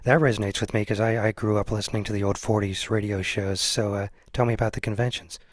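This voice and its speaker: A man's voice: on the low side, fairly even intonation, fast talker, dry recording, studio quality. A man interviewing someone casually for a podcast, with hesitant phrasing and brief affirmations.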